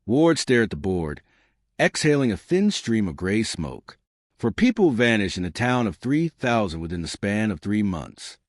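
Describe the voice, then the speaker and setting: Man narrating a dark mystery, very dry sound. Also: lowish voice, big pitch swings, moderate pace, no echo. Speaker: audio drama narrator.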